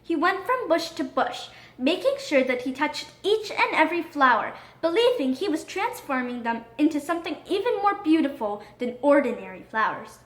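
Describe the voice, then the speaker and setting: Female narrator for children's storybook, high voice, very expressive and dramatic, recorded in an echoey room. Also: normal speed, few pauses. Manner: expressive, dramatic read-aloud delivery. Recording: roomy echo.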